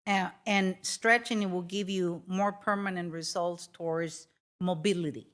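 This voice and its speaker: A woman's voice: on the low side, fairly even intonation, a little slow, fairly bright tone. A woman guest on a podcast answers questions about her stretching work. She explains things plainly and matter-of-factly, walking through examples. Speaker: guest, stretching studio owner. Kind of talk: casual interview answers.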